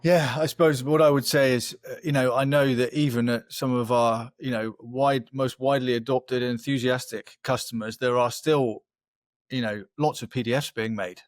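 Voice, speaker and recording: A man's voice: mid-range voice, fairly even intonation, moderate pace, no room sound. Man explaining on a webinar, dry sound. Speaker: company representative on a webinar panel. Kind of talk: webinar panel talk, explanatory.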